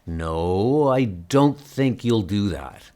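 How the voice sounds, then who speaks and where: A determined, troubled hero, acted by a man for a comic dub. He speaks slowly and earnestly, pressing his point with a moving pitch. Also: expressive, mellow. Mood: persuasive. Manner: earnest, insistent. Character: determined, anguished male superhero searching for his niece. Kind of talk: comic book dub acting.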